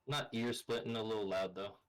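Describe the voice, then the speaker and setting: A man with a bassy voice talking over voice chat on his own mic, bantering with a teasing, deadpan humor. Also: a little fast, smooth. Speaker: friend on voice chat. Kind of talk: casual banter. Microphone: own microphone over voice chat.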